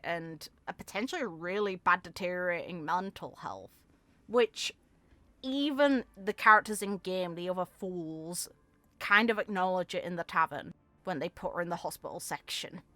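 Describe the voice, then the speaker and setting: A woman's voice: on the low side, sharp tone, dry recording. A woman giving casual, reflective commentary on a video game in an informal, opinionated way.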